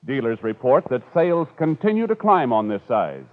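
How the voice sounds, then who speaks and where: Emphatic vintage radio announcer, tinny sound. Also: male voice, mid-range voice, sing-song, moderate pace. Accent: American. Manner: emphatic, formal, declarative. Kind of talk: 1950s radio announcing, scripted. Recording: tinny old radio recording with loud music.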